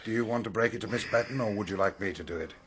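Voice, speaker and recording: Man acting a tired serious police chief, lowish voice, terse radio drama delivery, bit of background noise. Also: rapid-fire, a bit bright, close to an everyday voice. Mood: grim. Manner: terse, sober, authoritative.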